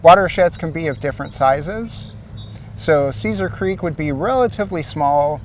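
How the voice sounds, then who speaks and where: A man's voice: on the high side, lively intonation, normal speed, far from the mic, a little hiss or hum. A man from Ohio, a guest, explains how his city's water supply works in an easygoing way with some fillers, speaking outdoors by a lake. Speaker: source water protection coordinator, guest. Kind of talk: explanatory interview answers.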